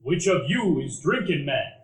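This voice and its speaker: Curt, gruff giant bootlegger. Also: male voice, on the high side, sing-song. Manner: curt, slow broken phrases. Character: gruff giant bootlegger man, never laughs. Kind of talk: live stage radio play. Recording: echoey room, live audience.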